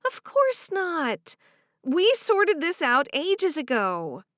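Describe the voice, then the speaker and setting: A kind, comforting young woman, as a woman reading aloud puts her on, speaking high and slow with a soothing lilt. She reassures warmly through a storybook scene, recorded dry and close. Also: wildly swooping pitch, dry recording, exaggerated voice. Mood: reassuring. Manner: gentle, reassuring.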